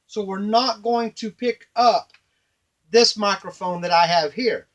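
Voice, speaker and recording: A middle-aged man giving an informal, halting hands-on tutorial at a workbench, explaining step by step. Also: sits high, fairly bright tone. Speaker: device maker and demonstrator. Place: small indoor workshop at a workbench. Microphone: camera a few feet away.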